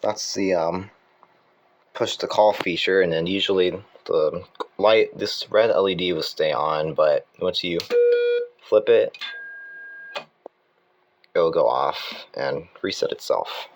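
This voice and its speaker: A man casually presenting equipment as a hobbyist, in an informal, halting manner, correcting himself as he goes. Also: low-pitched. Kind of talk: casual hands-on demonstration. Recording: clear background noise.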